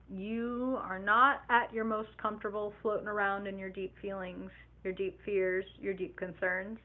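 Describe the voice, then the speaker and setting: Animated, chatty woman reading tarot live. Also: medium pitch. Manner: animated, chatty, swears casually, acts out imagined inner voices. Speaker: tarot reader. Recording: tinny phone-like live stream audio.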